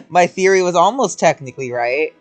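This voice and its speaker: A gaming streamer giving casual, excitable, sweary reactions during a playthrough. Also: mid-range voice.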